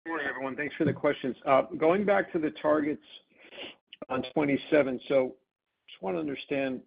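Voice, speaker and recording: A man asking questions as an analyst on an earnings call, halting and piling up clauses over a phone line. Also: a little high, creaky, husky. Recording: narrow, tinny phone line.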